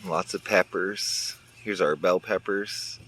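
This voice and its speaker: Low-voiced man touring his garden. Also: steady tone, slow, sharp tone, low-quality recording. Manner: chatty, pointing things out to viewers. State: a little congested. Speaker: garden vlogger. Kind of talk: casual garden walkthrough. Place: outdoors in a garden near a road. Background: faint traffic and outdoor ambience.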